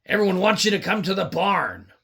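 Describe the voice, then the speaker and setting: A woman with a husky, gravelly voice improvising a children's puppet story, chatting casually to viewers in a mock-weary way. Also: on the low side, big pitch swings, fairly quick, fairly bright tone.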